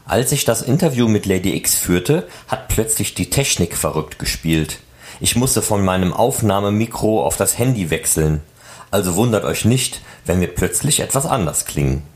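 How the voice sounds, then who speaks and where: A man's voice: lowish voice, expressive, normal speed, long pauses, a little mellow. A man hosting a podcast, explaining something directly to his listeners in narration. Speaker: podcast host.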